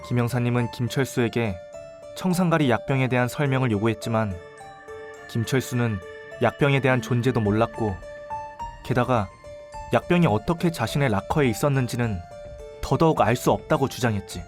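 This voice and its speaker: A man playing a composed, observant young investigator who narrates his case in an audio drama, measured and explanatory. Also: medium pitch, over music, lightly acted. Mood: composed, analytical.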